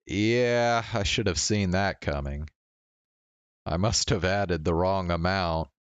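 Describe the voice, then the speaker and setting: A man streaming a game, reading visual novel narration aloud in a measured storytelling way. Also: low-pitched, clean tone, dry recording, dull audio. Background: game music.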